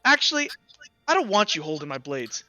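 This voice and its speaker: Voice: medium pitch, big pitch swings, fairly quick, sharp tone, soft background music. A game master voicing a stern woman trader in a tabletop game, curt, cold and indignant. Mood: cold, scornful. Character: stern, scornful woman trader selling blades.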